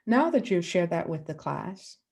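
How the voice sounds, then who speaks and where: A woman teacher from the Bahamas giving a lesson to children, asking the class questions and pausing for answers. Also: on the low side, big pitch swings, vocal fry, darkish tone.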